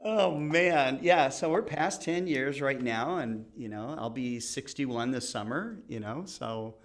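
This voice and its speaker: A man's voice: medium pitch, measured pace. Chatty man in his sixties hosting. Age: 60s. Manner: rambling, laughs easily, many fillers. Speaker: show host. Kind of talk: casual chat.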